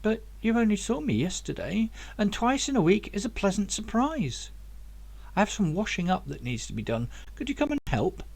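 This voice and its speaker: Guy doing a fussy caring mum for a story, high and sing-song, old-fashioned. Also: sing-song, moderate pace, creaky, a little mellow, exaggerated voice. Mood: fussing, mildly indignant. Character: caring old-fashioned mother, gently scolding her son.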